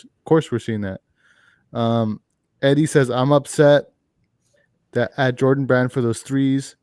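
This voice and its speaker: A man co-hosting a livestream over a video call, reading viewer comments in a flat, dry way. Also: mid-range voice, smooth, dry recording. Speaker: livestream co-host. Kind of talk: casual chat, reading comments.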